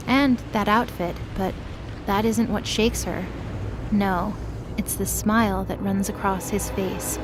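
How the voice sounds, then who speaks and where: Music runs clearly behind a woman narrator with a fairly high voice. She delivers scripted, dramatic narration for an audio drama.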